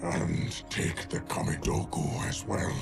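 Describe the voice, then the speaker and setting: An actor playing a brainwashed, arrogant villain ninja in a video game, a menacing zealot of chaos who declaims pompously. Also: male voice, slow pace, close to an everyday voice. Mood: menacing, fanatical. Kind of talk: dramatic video game cutscene acting. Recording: very loud cinematic music behind.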